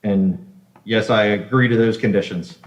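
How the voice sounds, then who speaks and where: A man speaking as an architect at a public meeting, answering questions in halting, hesitant phrases. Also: on the low side. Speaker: architect presenting for an applicant. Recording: echoey, dull video-call audio.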